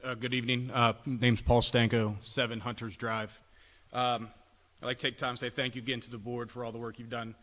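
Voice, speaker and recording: A man's voice: mid-range voice, little pitch movement, a little fast, fairly bright tone, a little hiss or hum. A man politely and hesitantly asking questions at a school board meeting, with many fillers. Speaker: public commenter. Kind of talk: public comment at a board meeting. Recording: livestream with long hall reverb.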